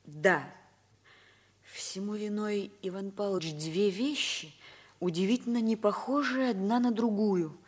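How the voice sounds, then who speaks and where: An actress plays a weary young woman in an old radio drama. Her mid-pitched voice is breathy and veiled, sometimes almost whispered. She confides slowly and haltingly, with introspective melancholy. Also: a little mellow, close to an everyday voice. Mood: melancholy, confiding. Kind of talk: 1950s radio play acting.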